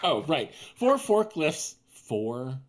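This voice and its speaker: Lowish-voiced lady, really animated and sarcastic, hamming up a script read and riffing on it. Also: slow pace, very noisy. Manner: sardonic, theatrical, sweary. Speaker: podcast host and script narrator. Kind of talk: comedic live table read and banter.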